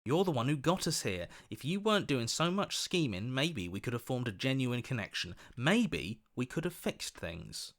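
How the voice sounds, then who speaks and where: A man voicing an earnest, hopeful young hero in a video game, expressive and quick to protest. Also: mid-range voice. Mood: earnest, indignant.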